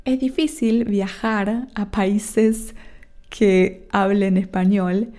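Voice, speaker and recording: A woman's voice: medium pitch, fairly even intonation, mellow. A woman from Argentina with an Argentine accent, hosting a Spanish lesson podcast for learners and checking in often with her listeners. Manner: slow and careful, checks in with "¿sí?". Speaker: Spanish teacher and podcast host.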